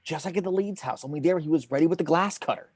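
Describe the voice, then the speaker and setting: A man playing an intense, brooding investigator with a husky, halting delivery in a drama table read. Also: on the high side, darkish tone, dry recording. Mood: intense, puzzling. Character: Will Graham, intense, haunted male FBI investigator.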